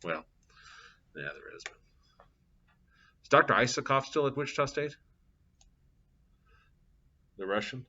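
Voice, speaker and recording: A man's voice: mid-range voice, big pitch swings, measured pace, long pauses, pushed and bright. A middle-aged man teaching a live calculus class, chatting casually and thinking aloud with fillers. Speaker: math instructor. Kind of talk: live class lecture with anecdotes. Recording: dull lo-fi classroom capture.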